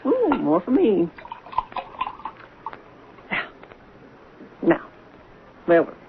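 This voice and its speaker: On a tinny, noisy radio recording, a tipsy, sardonic woman drawls through a drama with arch pauses. Her lively, swinging voice sounds mocking and bitter, like someone drowning a failing career in drink. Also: mid-range voice, exaggerated voice. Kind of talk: 1970s radio drama acting.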